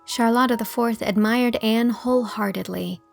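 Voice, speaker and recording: A woman's voice: a little high, normal speed, vocal fry, soft background music. A woman reading scripted storybook narration as the narrator of an audiobook.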